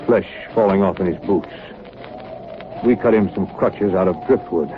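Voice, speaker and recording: An actor in a 1930s radio drama playing a stern, driven ship's captain, terse and commanding. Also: male voice, sits low, natural voice. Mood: grim, weary. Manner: slow, terse, clipped phrases, commanding. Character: stern, driven ship's captain keeping a grim survival diary. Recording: muffled phone-like, clearly noisy 1938 radio recording.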